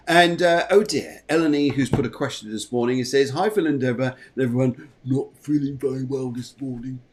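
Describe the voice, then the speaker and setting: Male host, chatty and jovial, for livestream morning chat; repeats his phrases, medium-pitched voice. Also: fairly quick, background noise. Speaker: show host.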